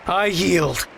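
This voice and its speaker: A man's voice: high-pitched, creaky, a bit bright, slight background noise, exaggerated voice. A man playing a good-natured, humble young contestant in an anime video game. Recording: music behind.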